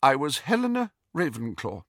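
Male narrator as storybook ghost noblewoman, young, haughty and disdainful, animated pitch, dry sound. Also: takes it slowly, breathy edge, no echo, heavily acted. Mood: stiff, composed. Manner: stiff, disdainful, guarded.

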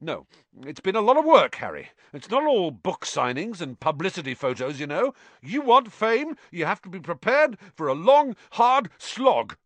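A man's voice: medium pitch, very animated, moderate pace, a bit bright, no echo, voice pushed up. A man voicing a vain, pompous male wizard professor who talks in an affected, condescending way. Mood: self-pitying.

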